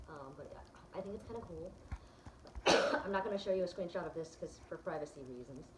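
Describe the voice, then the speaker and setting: Woman vlogger, casual chat, low voice, echoey noisy room. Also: a little fast. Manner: chatty, many ums and restarts. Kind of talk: casual chat, walking through results on screen.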